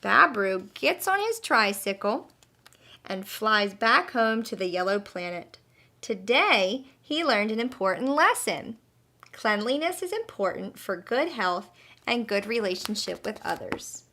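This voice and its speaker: A woman's voice: medium pitch, sing-song, unhurried, pushed and bright. A woman narrating a children's story expressively, speaking directly to young listeners as a storyteller. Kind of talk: animated scripted story narration.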